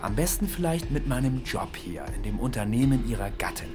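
Actor as a sly, sarcastic blackmailer, medium pitch. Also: male voice, big pitch swings, a little fast, music in the background, lightly acted. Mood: sly, aggrieved. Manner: sardonic, circuitous, mock-obliging. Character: sly, sarcastic male employee turned blackmailer. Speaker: actor in a radio drama. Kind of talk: radio play acting.

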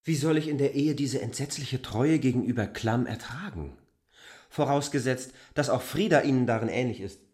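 A man's voice: medium pitch, lively intonation, normal speed, a little mellow, slightly rough. A man playing a persistent, uneasy land surveyor in a literary radio drama, formal yet pointed in his questioning. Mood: troubled, challenging.

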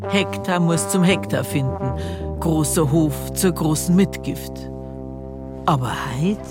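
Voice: medium pitch, takes it slowly, lightly acted. An actor with a Bavarian accent playing a wry village storyteller looking back on older times in a radio play. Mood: wry, nostalgic. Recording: loud brass music behind.

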